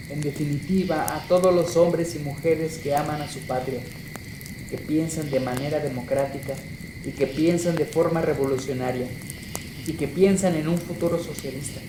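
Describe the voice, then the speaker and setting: A man with a Mexican accent playing a resolute guerrilla leader in a radio drama, making solemn, declamatory political statements in measured phrases. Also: a little high, lightly acted. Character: male revolutionary guerrilla leader giving an interview, resolute. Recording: roomy echo, clear background noise.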